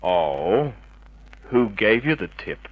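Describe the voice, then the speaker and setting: An actor playing a wisecracking, hard-boiled private detective in an old-time radio drama, sardonic and clipped. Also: male voice, fairly low pitch, sing-song, slow pace, heavily acted. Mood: probing, sardonic. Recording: dull vintage radio recording.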